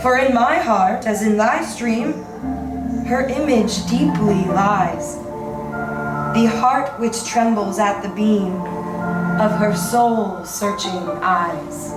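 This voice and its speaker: A woman giving a solemn, measured, theatrical reading of dramatic poetry. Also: medium pitch. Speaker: performer of poetry. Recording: roomy distant recording under loud music.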